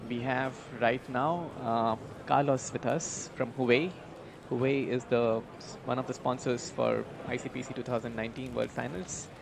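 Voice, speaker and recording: A man's voice: mid-range voice, steady tone, moderate pace, vocal fry, few pauses. A man hosting a live event stream, interviewing a guest and prompting him along with many fillers. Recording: outdoor recording with crowd noise.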